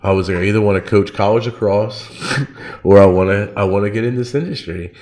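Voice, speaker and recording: A man with a fairly low voice hosts a podcast. He talks informally, in loose slangy storytelling that leans often on "like". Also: a little fast, a little mellow. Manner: informal, slangy, frequent 'like'. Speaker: podcast host. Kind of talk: casual chat, personal storytelling.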